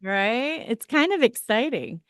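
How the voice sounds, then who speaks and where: A chatty woman hosting a radio show, her voice smooth and clean. She talks close to the studio mic in a dry, dead room with no echo. She laughs easily between questions. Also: fairly high pitch, animated, measured pace, fairly bright tone. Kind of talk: casual radio interview.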